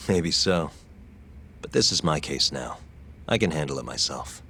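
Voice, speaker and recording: A man's voice: extremely low pitch, lively intonation, a little slow, pushed and bright, a little hiss or hum, full character voice. A man voicing a defense attorney in a video game cutscene, speaking in a level, self-assured way. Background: game score music.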